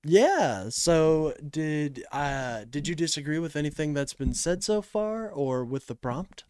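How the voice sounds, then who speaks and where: A man's voice: fairly high pitch, animated, unhurried, darkish tone, smooth, no echo, studio quality. A man hosting a live political call-in stream in a casual, joking way, with slang and swearing as he talks to his chat.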